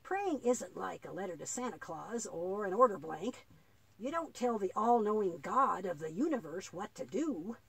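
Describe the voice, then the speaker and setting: A woman voicing a patient, devout old grandfather in a children's puppet play, explaining earnestly and haltingly with a husky voice. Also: mid-range voice, expressive, no echo. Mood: earnest, instructive.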